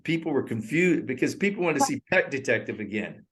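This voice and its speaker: A man in his sixties co-hosting a casual movie review over a remote call, talking in a rambling, animated way and laughing often. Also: mid-range voice, a little fast. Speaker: co-host. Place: in Thailand.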